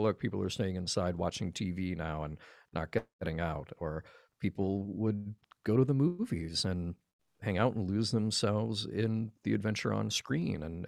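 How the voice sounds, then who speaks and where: A man's voice: lowish voice, vocal fry, a little mellow, no room sound, studio quality. A man from Alabama with an American accent, talking thoughtfully and discursively in a casual podcast discussion. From Birmingham, Alabama, United States. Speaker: podcast guest.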